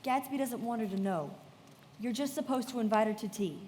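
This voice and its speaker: A woman playing a poised young society woman who tells a story in measured, confiding narration in a live radio play, in a reverberant hall with music behind. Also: on the high side, mellow, slight background noise, natural voice. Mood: persuasive.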